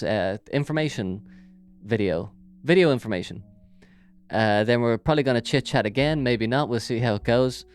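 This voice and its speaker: Irish male podcast host, mid-pitched, smooth clear delivery, rambling, jokey chat. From Ireland. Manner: rambling and jokey, with frequent fillers. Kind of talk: casual solo podcast chat. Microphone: big studio microphone.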